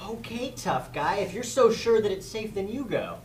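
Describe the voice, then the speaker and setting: A man's voice: sits high, very animated, moderate pace. A man delivering dialogue in a live comedy script reading. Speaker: table read performer. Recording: echoey room with faint noise.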